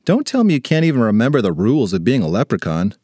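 A man's voice: mid-range voice, sing-song, quick pace, darkish tone, breathy edge, dry recording, studio quality. A man voicing a headstrong, sarcastic fifth-grade girl with a dramatic manner in a children's story. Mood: matter-of-fact. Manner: exasperated, dramatic delivery.

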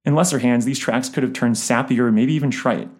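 A man's voice: medium pitch, fairly quick, darkish tone. A man reading a scripted album review as narration.

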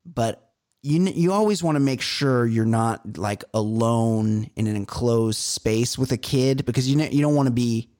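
A man from California chats on a comedy podcast in a middle-pitched voice. He rambles through his stories, stacking up fillers and restarts as he goes. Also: normal speed. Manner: rambling, animated storytelling with many fillers. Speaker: podcast co-host. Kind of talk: casual chat.

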